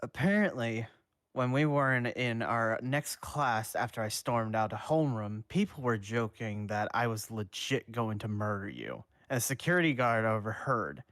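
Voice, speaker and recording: A man's voice: mid-range voice, expressive, normal speed, a bit bright, no echo. A man voicing a blunt, wry teenage ghost boy, dry and offhand, in a fan reading.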